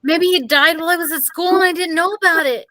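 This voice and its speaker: A woman's voice: very high voice, fast, pushed and bright, no room sound. A woman voicing a frantic, accusing fourth-grade boy in a children's storybook, insistent and exclaiming. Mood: anxious. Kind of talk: children's storybook read-aloud.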